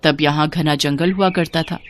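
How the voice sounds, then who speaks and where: A woman's voice: low-pitched, fairly even intonation, moderate pace, slight background noise. A woman storyteller reading scripted fairy-tale narration for a cartoon in a dramatic storytelling manner.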